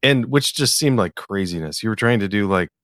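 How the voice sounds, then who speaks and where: A man from the US Pacific Northwest with an American accent, hosting a casual podcast interview in a halting, rambling way. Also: fairly low pitch, expressive, a bit bright, dry recording, clean studio sound. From US Pacific Northwest, eastern Washington. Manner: halting, rambling, hesitant delivery.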